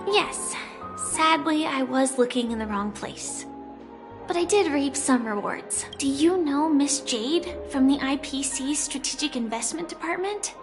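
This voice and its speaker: An actress voicing a quiet young woman on the run in a game, speaking softly and reflectively. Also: high-pitched, lively intonation, a little slow, music in the background. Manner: soft, reflective, hushed. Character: quiet young woman fugitive, soft and melancholic. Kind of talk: game dialogue.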